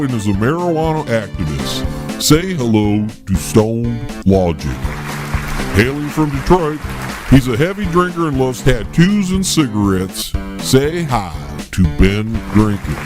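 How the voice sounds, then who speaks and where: Guy doing a radio game show announcer, big showy intros, lively pitch, music playing behind. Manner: grand, drawn-out name introductions.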